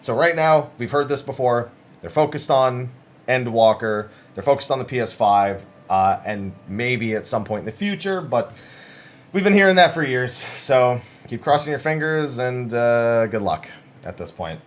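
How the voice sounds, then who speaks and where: A man's voice: mid-range voice, animated, moderate pace. A man hosting gaming news commentary, talking casually and emphatically as he sums up interviews in an informal, conversational way.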